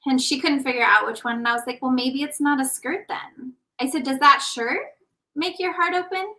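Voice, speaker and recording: A woman podcast host tells a story with animated, casual warmth. Her voice has a sharp, bright edge and a slightly raised pitch. She sits a little away from the mic. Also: moderate pace, far from the mic. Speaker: podcast host and intimacy coach.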